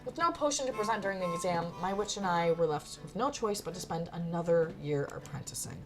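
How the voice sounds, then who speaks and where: A woman's voice: on the low side, expressive, brisk, close to an everyday voice. A woman voicing a witch's cat apprentice, a wry, self-deprecating narrator reading a visual novel expressively. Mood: rueful. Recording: faint music behind.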